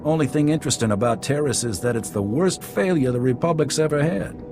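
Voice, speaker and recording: An actor playing a gruff, plain-spoken army captain in a video game, talking briskly and candidly. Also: male voice, fairly high pitch, music in the background, lightly acted. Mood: brisk, wry. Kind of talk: scripted video game dialogue.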